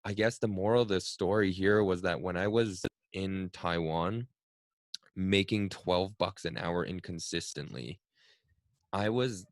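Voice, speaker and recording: Brief: male host, 30s, Cantonese native, very low voice, dry close sound, musing and chatty. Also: no echo. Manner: reflective, frequent "right?" tag questions. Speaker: podcast host. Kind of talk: casual conversational interview.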